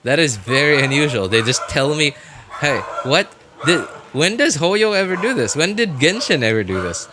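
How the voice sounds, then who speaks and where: A man's voice: fairly high pitch, sing-song, normal speed, noisy background. A man live-streaming a game with casual commentary, chatting to viewers in a rambling way, often repeating and rephrasing himself.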